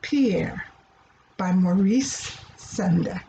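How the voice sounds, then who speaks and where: A woman storyteller explaining things to children in a simple, teacher-like way, deliberate and repetitive, checking that they understand. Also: fairly low pitch, expressive, vocal fry, mellow, slight background noise. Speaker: children's read-aloud storyteller.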